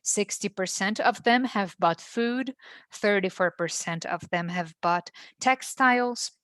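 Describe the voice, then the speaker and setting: A woman's voice: on the low side, fairly bright tone, no room sound. Woman presenting trade figures, dry sound. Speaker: webinar presenter. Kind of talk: formal business presentation.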